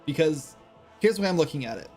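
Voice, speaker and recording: A man's voice: fairly high pitch, lively intonation, fast, darkish tone, faint music behind. A man in his late thirties, chatting casually on a game development livestream in a rambling, self-deprecating way full of fillers. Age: 30s. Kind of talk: casual livestream chat while working.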